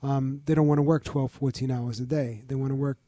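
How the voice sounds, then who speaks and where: An older man from the Bronx, a museum founder explaining things in a measured, informative way as an interview guest. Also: mid-range voice, steady tone, mellow, no room sound. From the Bronx, New York. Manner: measured, informative, lists details. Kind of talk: interview conversation.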